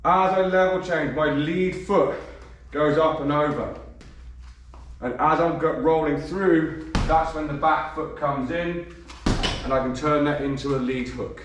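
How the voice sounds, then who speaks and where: A man, a bit croaky from a cold, coaching boxing and counting punches as he demonstrates. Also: fairly high pitch, steady tone, unhurried, slight background noise. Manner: demonstrates moves while talking, counting punches. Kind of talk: instructional demonstration. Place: gym. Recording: echoey gym, voice from a distance.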